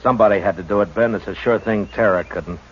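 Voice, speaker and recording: A man playing a steady, stern frontier marshal who speaks calmly and with authority in a 1950s radio drama. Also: fairly low pitch, husky. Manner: calm, measured, authoritative. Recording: dull old radio recording with hiss.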